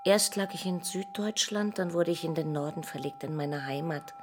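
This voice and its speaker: A woman playing a dead accident patient, a wistful, resigned mother, in a measured, reflective radio play monologue. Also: low voice, over music, close to an everyday voice.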